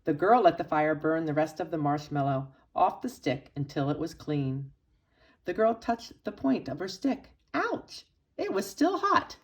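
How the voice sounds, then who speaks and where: A woman reading a children's picture book aloud expressively, with dramatic emphasis and pauses for young listeners. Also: low-pitched, very animated. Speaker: storybook reader for children's church.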